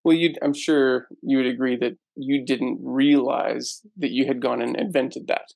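A man interviewing a guest, speaking in a calm, measured, affirming way. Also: mid-range voice, creaky, no room sound, rough recording. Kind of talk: conversational interview.

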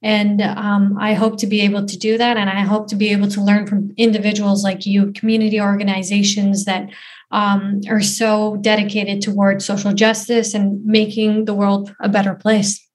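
A woman's voice: mid-range voice, monotone, moderate pace, slight background noise, rough recording. A woman, giving earnest, thoughtful answers as an interview guest, often saying "you know" and "um". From Canada. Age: 20s. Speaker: guest, footballer and activist.

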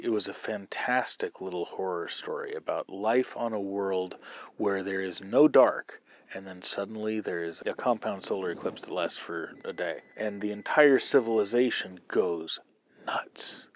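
A man hosting a podcast, explaining writing craft in an informal, colloquial way. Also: sits low. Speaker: podcast host and novelist. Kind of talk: casual writing advice podcast.

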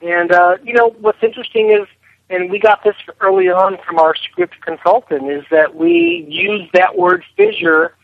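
A man's voice: high voice, very animated, normal speed, vocal fry. A man being interviewed as a film director, answering conversationally over a telephone line. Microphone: phone.

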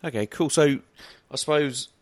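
A man's voice: mid-range voice, moderate pace, no echo. A man hosting a podcast, chatting loosely with plenty of ums and restarts. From New Zealand. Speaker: podcast host. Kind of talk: casual interview chat.

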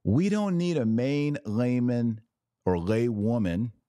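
A man hosting a podcast, giving sarcastic, animated commentary and now and then leaping to high, incredulous exclamations. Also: no room sound. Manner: slow deliberate Latin prayer recitation. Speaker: podcast host.

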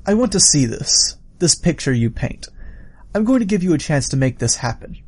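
A man's voice: a little high, big pitch swings, normal speed, darkish tone, husky, pitched above the performer's own voice. A man voicing a sadistic, playful villain in an audiobook story, musing and taunting in a theatrical way. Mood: intrigued, scheming. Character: sadistic, playful adult male killer, theatrically menacing villain.

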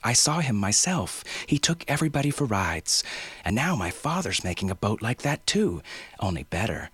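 A man's voice: fairly low pitch, very animated, moderate pace, a bit bright, husky, dry recording, pitched above the performer's own voice, heavily acted. A man voicing a cheerful, chattering five-year-old island girl. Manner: eager, breathless chatter.

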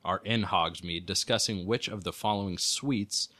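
Lower-pitched man with a sharp, bright voice. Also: normal speed. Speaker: podcast producer and quiz reader. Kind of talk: casual chat, reading quiz questions aloud.

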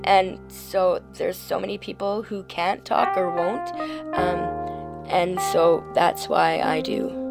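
A woman speaking earnestly and outspokenly as an interviewee, with a loud voice. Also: lowish voice, flat delivery, takes it slowly, gravelly, over loud music. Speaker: activist interviewee. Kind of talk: casual interview.

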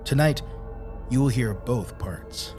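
A man's voice: mid-range voice, expressive, slow, a little mellow, soft background music. A man hosting a radio program, reading a scripted introduction.

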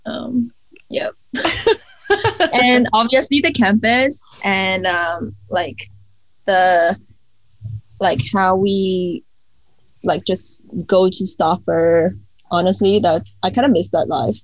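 A woman's voice: mid-range voice, fairly even intonation, takes it slowly, pauses often, no room sound, low-quality recording. A young woman from Hong Kong, a student guest, chatting casually with many fillers and restarts. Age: young adult. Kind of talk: casual interview answers.

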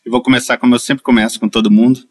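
A man's voice: mid-range voice, flat delivery, very fast. A man hosting a podcast with a Brazilian Portuguese accent, reading an upbeat intro and then chatting casually with his guest in a studio. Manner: upbeat, chatty, laughs easily. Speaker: podcast host.

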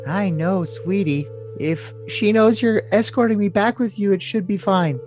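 A man's voice: high-pitched, a little slow, a little mellow. A man voicing a lovesick, scheming young woman in a cutesy, coy, overly dramatic comic reading of messages. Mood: sweetly plaintive. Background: music.

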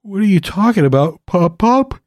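A man voicing a gentle, worried grandson talking with his ailing grandfather. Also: high-pitched, expressive, moderate pace, no echo, clean studio sound, pitched above the performer's own voice. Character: worried grandson at a hospital bed.

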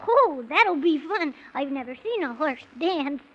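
A woman's voice: high-pitched, expressive, measured pace. A woman playing a polite, wide-eyed six-year-old boy in a 1930s children's radio serial. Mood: curious. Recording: muffled, noisy vintage 1930s radio recording.

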